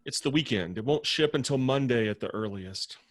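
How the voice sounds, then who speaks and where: A man's voice: medium pitch, expressive, brisk, lightly acted. Comedy table read: male actor as dry, teasing, boozy roommate, sardonic, mid-range voice. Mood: deadpan.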